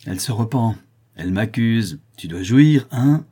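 Man acting a husky, vengeful condemned widow. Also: fairly low pitch, moderate pace, mellow, lightly acted. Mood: scornful fury. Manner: cold fury, savage irony, scornful. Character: fierce, sneering, vengeful condemned widow, a criminal mother.

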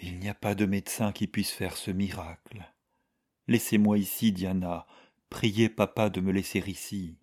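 A man's voice: sits low, fairly even intonation, normal speed, a little mellow. A man voicing a frail, sick young woman with a plaintive, pleading manner in an audiobook.

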